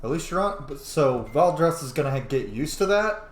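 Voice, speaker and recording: A man's voice: fairly high pitch, lively intonation, fairly quick. A man hosting a gaming series with casual, informal commentary and rambling asides. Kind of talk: casual let's-play commentary. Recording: echoey room, faint noise.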